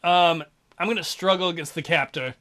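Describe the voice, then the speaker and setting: A man's voice: on the high side, brisk, fairly bright tone. A man hosting a let's play, reading a visual novel aloud and cracking crude, offhand jokes. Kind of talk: casual gameplay commentary.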